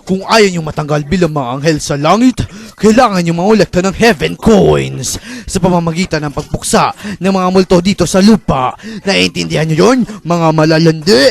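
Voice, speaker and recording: A man playing a stern, preachy mentor to two angels, creaky and commanding, in a cartoon fan dub. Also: fairly high pitch, normal speed, few pauses. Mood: stern, scolding. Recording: fan dub with music behind. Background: music.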